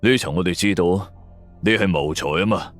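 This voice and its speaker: Male narrator as seasoned cop, confident and firm, lowish voice, for a suspense story. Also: normal speed, no echo, faint music behind, close to an everyday voice. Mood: knowing, pressing. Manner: firm, knowing.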